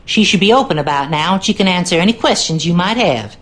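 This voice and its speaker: Casting: woman playing haughty, guarded Southern servant woman for a mystery game, sly, very animated pitch. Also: fast, background noise, lightly acted. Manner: sassy, curt, guarded. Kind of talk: video game character acting.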